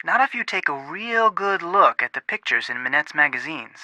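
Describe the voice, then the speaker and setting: A man voicing a sensible, earnest young amateur detective in a video game, matter-of-fact and gently chiding. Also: fairly high pitch, sing-song, moderate pace, no room sound, exaggerated voice, poor audio. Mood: helpful.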